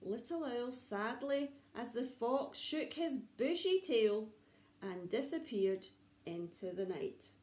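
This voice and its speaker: A woman reading a story to young children like a nursery teacher, with dramatic pauses and repeated words for suspense. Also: fairly high pitch, animated, darkish tone. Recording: echoey room.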